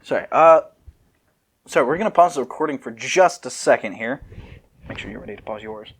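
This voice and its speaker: A man's voice: medium pitch, expressive, a little fast, slightly rough. A man co-hosting an amateur dramatic reading, narrating casually and often breaking off into giggles and joking asides. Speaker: co-host reading fan fiction aloud.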